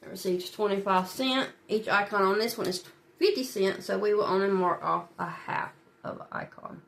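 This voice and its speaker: A woman's voice: medium pitch, normal speed, creaky, a bit bright. A woman with a stuffy, head-cold nose, chatting to viewers as she works through her savings challenges. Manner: chatty, talks to viewers while working, small laughs. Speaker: budgeting channel host. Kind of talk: casual talk while filling savings challenges.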